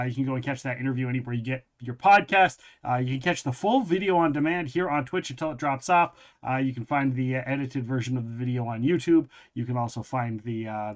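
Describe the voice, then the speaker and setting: A man hosting a casual live gaming stream, talking chattily and loosely, repeating phrases for emphasis and often restarting his sentences. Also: mid-range voice. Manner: loose, rambling delivery with frequent restarts.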